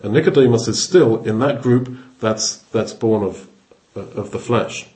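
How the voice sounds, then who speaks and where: A man's voice: fairly low pitch, unhurried, warm tone. Man teaching scripture thoughtfully. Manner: thoughtful, restarts phrases, explains word by word. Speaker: Bible teacher. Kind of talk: expository Bible teaching.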